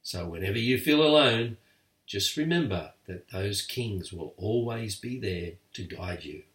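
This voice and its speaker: An older man voicing a stern, wise lion king and father in a firm, steady voice. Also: lowish voice, unhurried. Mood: tender. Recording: echoey room, far from mic.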